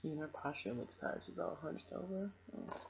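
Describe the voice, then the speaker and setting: A low-pitched woman hosts storytime, reading a picture book aloud and adding casual asides. The recording is a little muffled, with light noise behind her. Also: animated, moderate pace, creaky. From United States. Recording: dull, noisy home recording.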